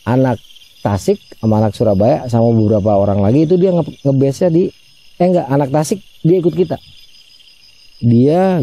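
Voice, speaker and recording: A man's voice: mid-range voice, vocal fry, mellow, breathy edge. A man telling a ghost story in a chatty way, often addressing his listener by name and acting out the voices he quotes. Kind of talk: casual spoken ghost story.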